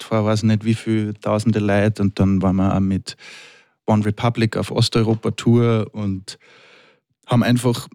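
A man in his thirties with an Austrian accent, a musician guest talking in a relaxed, rambling way with many fillers. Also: mid-range voice, dry recording, studio quality. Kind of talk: casual interview talk.

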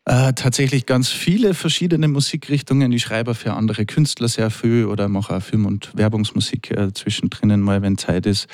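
A man in his thirties with an Austrian accent chats as a musician guest in the studio. He talks in a relaxed, rambling way, full of fillers. His voice sits a bit low, and the recording is dry and close. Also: dry recording. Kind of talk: casual interview talk.

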